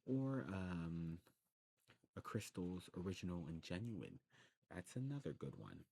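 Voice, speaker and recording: A man playing a courteous old demon shopkeeper in an ASMR roleplay, talking to the listener in a genteel way and musing with hums and pauses. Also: low voice, sing-song, gravelly, warm tone, breathy edge, full character voice. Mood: musing, generous.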